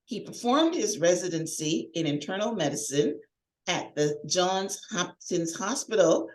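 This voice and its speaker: A woman's voice: fairly low pitch, distant mic. Woman host from Guyana, echoey and off-mic. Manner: lively, laughs easily, interjects with quick questions. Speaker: podcast host. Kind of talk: interview podcast, reading a guest introduction then chatting. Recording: echoey room, voice a bit off-mic.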